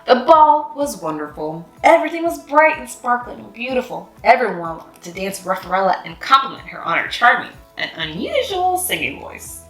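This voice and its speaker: A woman reading a children's picture book aloud in an expressive, sing-song way. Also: on the high side, moderate pace, few pauses. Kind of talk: storybook read-aloud. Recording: echoey room with faint music.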